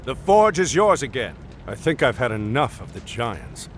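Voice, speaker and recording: A man's voice: mid-range voice, big pitch swings, moderate pace, faint music behind, natural voice. A man playing an earnest, decisive warrior leader and hero in a video game cutscene. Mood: earnest, resolute.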